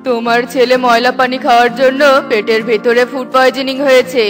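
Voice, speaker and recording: A woman voicing a calm male doctor in a cartoon, with music underneath. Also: on the high side, a little fast, lightly acted.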